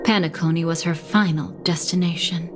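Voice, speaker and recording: A woman playing a calm, enigmatic confidante in a video game, composed and probing in her delivery. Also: on the low side, moderate pace, over music, lightly acted. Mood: cool, measured. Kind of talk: video game story acting.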